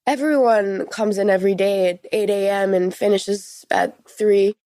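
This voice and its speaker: Female, late teens, podcast guest. Frank, informal teen talk, mid pitch, close dry sound. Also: steady tone, normal speed, mellow, dry recording. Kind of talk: personal interview, recounting experiences.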